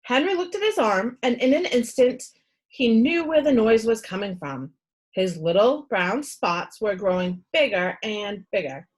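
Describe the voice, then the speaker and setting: A woman reading a storybook aloud to children in an expressive storyteller's style. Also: a little high, a little slow. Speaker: read-aloud host and storyteller.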